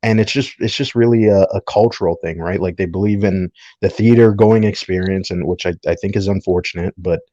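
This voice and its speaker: A man's voice: sits low, fairly even intonation, a little fast, few pauses, mellow, dry recording, dull audio. A man giving opinions in a casual discussion, explaining his points and checking that listeners follow. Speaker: podcast panelist.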